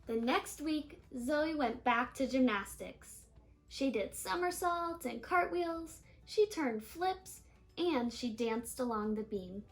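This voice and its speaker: High-pitched woman reading a children's story. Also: slow, faint music behind. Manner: animated storytelling, stressing and stretching key words. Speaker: storybook reader. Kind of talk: expressive read-aloud of a children's picture book.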